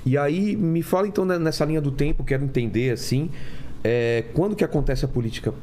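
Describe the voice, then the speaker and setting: Fast-talking young man, chatty. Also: a little high, dark tone, a little hiss or hum. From Brazil. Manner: chatty, jumps in with quick reactions. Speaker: podcast host. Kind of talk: casual interview chat.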